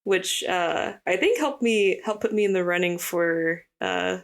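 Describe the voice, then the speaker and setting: A young woman from Hawaii, a guest artist, chatting casually in an interview with a relaxed, rambling manner and lots of fillers. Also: lowish voice, unhurried.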